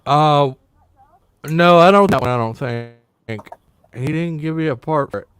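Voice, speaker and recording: A man's voice: a little high, measured pace, a bit bright. A man joining a casual stream chat, rambling and joking bluntly. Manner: rambling, blunt jokey banter.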